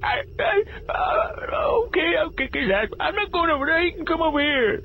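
A man voicing a put-upon, dim pitchfork in a video game, complaining and full of self-pity. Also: sing-song, normal speed, narrow, tinny audio, a little hiss or hum, full character voice. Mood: whining.

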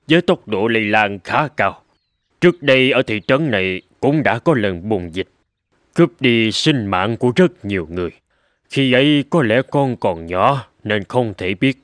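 A man voicing a grave older gentleman physician and mentor in a dubbed cartoon, speaking in a measured, formal, earnest way. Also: fairly low pitch, lively intonation, no room sound, studio quality. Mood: calm, explaining.

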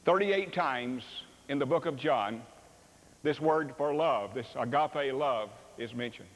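An older man preaching a sermon on a church stage, animated and acting out dialogue. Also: mid-range voice, a little slow. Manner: animated, acts out dialogue with sweeping gestures. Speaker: pastor. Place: large church sanctuary stage. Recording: long reverb of a large hall.

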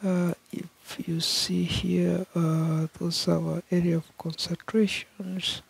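A middle-aged man who is not a native English speaker, rambling slowly off camera and repeating words over and over as he walks through his screen and apartment on a phone camera. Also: high voice, level tone, creaky, husky, no room sound. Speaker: channel host. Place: apartment room with a window view. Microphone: phone camera held off-camera.

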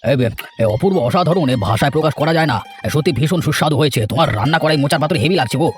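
A man playing a deep-voiced, dignified village gentleman. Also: very fast, faint music behind, natural voice. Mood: delighted. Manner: emphatic, repeats words. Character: dignified elderly village gentleman, food-loving, righteous benefactor. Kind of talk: Bengali fairy tale cartoon acting.

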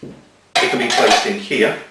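A man's voice: mid-range voice, steady tone, slow pace, distant mic, loud music behind the voice. A middle-aged man with a methodical, step-by-step manner, presenting and demonstrating outdoor cooking gear at a table. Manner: methodical, explains step by step while handling gear. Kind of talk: hands-on equipment demonstration. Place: small indoor room with table of gear. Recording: echoey room, mic set back.

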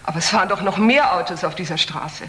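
A woman's voice: fairly low pitch, quick pace, fairly bright tone, natural voice. Woman acting cool country doctor in a crime radio drama, challenging and matter of fact, echoey room. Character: cool, blunt, self-assured female country doctor. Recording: roomy audio drama, faint noise.

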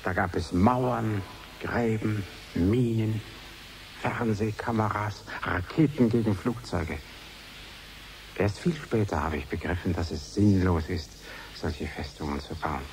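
A man's voice: fairly low pitch, gravelly. An actor playing a reflective, sentimental and fatalistic man in a radio play. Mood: melancholy. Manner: reflective, confiding, in short measured phrases. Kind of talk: 1980s German radio play acting. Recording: slightly hissy archive radio recording.

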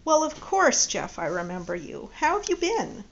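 Woman narrator doing a gushing middle-aged mom, pitched up a bit, lo-fi. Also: sing-song, moderate pace. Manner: gushing, effusive. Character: warm chatty middle-aged woman, Judy. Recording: dull lo-fi audio.

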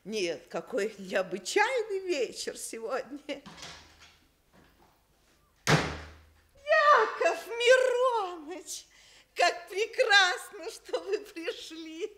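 An actress playing a gracious, effusive elderly widow with old-fashioned wit in a Soviet radio play. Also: female voice, extremely high pitch, sing-song, extremely slow, pauses often, bright, forceful tone, a little hiss or hum, exaggerated voice. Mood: delighted, flustered. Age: older. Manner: effusive, genteel. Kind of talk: Soviet radio theatre acting.

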